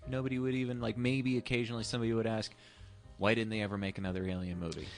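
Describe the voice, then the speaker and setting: A man's voice: fairly low pitch, level tone, very fast, faint music behind. A man chatting casually about films as a podcast co-host, in a rambling way peppered with filler phrases. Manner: rambling, hedging with "you know". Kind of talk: casual film discussion chat.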